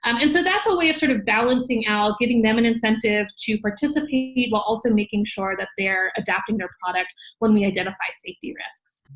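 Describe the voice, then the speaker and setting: Higher-voiced woman, animated interview guest. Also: fast talker, low-quality recording. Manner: animated, laughs readily. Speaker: podcast guest, law professor. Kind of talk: conversational interview, explaining her research.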